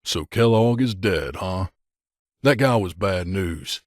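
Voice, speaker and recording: A man's voice: low-pitched, lively intonation, slow, no echo, clean studio sound. A man playing a middle-aged mercenary bodyguard in a video game, a blunt and guarded loner who talks in a terse, matter-of-fact, aloof way. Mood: businesslike, dry. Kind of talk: video game character dialogue.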